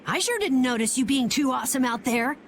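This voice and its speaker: High-voiced, eager young boy. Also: animated, brisk, slight background noise. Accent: American. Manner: earnest, eager. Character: eager, naive, excitable young boy adventurer. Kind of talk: video game voice acting.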